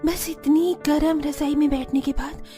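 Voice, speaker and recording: A woman's voice: sits high, fairly even intonation, normal speed, mellow, faint music behind, lightly acted. A woman voicing cheeky, lazy young sisters-in-law in a cartoon story, chirpy and wheedling. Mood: lazy, content. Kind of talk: Hindi cartoon story acting.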